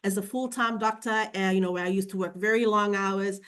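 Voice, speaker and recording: A woman's voice: medium pitch, fairly even intonation, fairly quick, fairly bright tone. Chatty woman from Fiji. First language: Fijian. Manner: chatty, many "you know" fillers. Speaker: co-host. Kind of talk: business presentation on a video call.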